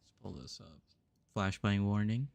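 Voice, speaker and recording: A man's voice: fairly low pitch, moderate pace, vocal fry, darkish tone, no room sound. A young adult man from the US East Coast, musing aloud and answering chat during a casual game stream. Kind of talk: casual live-stream chat while playing.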